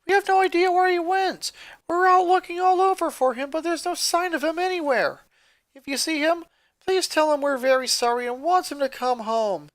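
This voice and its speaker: A woman's voice: very high-pitched, normal speed, no room sound, voice pushed up. A woman voicing an anxious, apologetic teenage girl in a story reading. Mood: urgent, apologetic. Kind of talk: reading a text message in character.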